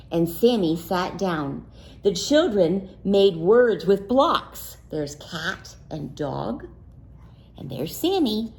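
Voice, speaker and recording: A woman's voice: medium pitch, darkish tone. A woman reading a picture book aloud to children expressively, with simple questions and asides to young listeners.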